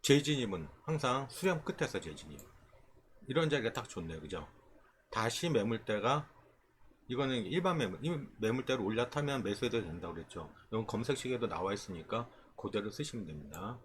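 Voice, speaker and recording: Low-voiced man teaching, very lively intonation. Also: a little fast, slight background noise. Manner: explanatory, checks in with rhetorical questions. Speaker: stock trading instructor. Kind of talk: informal screen-share tutorial explaining stock charts.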